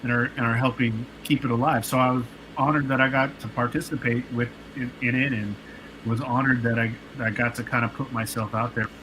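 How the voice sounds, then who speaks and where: A man in his late forties, a guest telling stories in a relaxed, rambling way with many fillers and restarts on a podcast. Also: mid-range voice, a little fast, slight background noise, poor audio. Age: 40s. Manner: laid-back, rambling, lots of fillers and restarts. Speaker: guest, producer and artist. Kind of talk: casual podcast interview storytelling.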